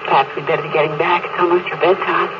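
A woman's voice: on the low side, little pitch movement, very fast, noisy background, natural voice. An actress as a wistful, tender mother. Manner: gentle, pensive, wistful. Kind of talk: mid-century radio drama acting. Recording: muffled old radio recording. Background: music.

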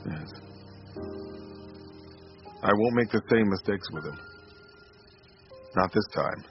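A man's voice: on the low side, slow. An actor playing an earnest, regretful father, subdued and plain-spoken, in a radio drama. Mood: solemn. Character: Martin, earnest, regretful small-town father. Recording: dull lo-fi audio, faint music.

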